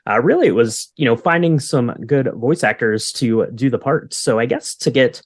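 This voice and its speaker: A man's voice: medium pitch, lively intonation, moderate pace, dry recording, clean studio sound. A man hosting a podcast, interviewing a guest in a casual, conversational way.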